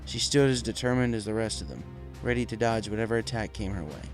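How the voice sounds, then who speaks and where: A man's voice: lowish voice, fast, faint music behind. A man giving scripted narration of a dramatic story as its narrator.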